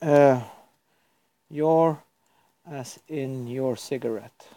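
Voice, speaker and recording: A man's voice: mid-range voice, steady tone, very slow, mellow, no echo. A man from Norway, chatting casually on a live stream at his computer, rambling and chuckling often. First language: Norwegian. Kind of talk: casual livestream chat.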